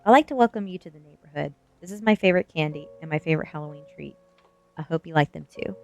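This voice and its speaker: A woman's voice: deep voice, fast, gravelly, a little mellow. A woman voicing a bold, spirited teenage goth girl with a cheeky, defiant manner in a storybook reading. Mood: friendly. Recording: music behind the voice.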